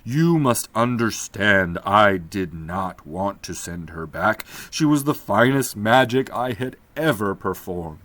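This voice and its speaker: A man's voice: fairly low pitch, animated, slow, hardly any pauses, fairly bright tone, no room sound. A man voicing a desperate, ragged old magician locked in a cell, speaking in a pleading, brooding way.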